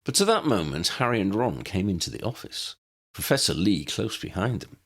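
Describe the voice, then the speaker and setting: A man narrating a story from a script in an expressive, dramatic storytelling manner. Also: on the low side, normal speed, breathy edge. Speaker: audiobook narrator reading fan fiction.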